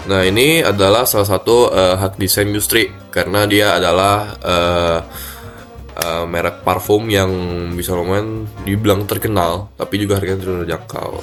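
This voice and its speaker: Low-voiced stuffy-nosed man presenting. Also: steady tone, a bit bright, soft background music. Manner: halting, many fillers. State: stuffy nose. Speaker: student presenter. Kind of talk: class presentation. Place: cold room.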